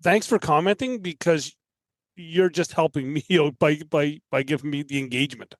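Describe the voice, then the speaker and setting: A man's voice: a little high, normal speed, dry recording. A man from Canada chatting casually as a guest on a podcast. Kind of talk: casual chat.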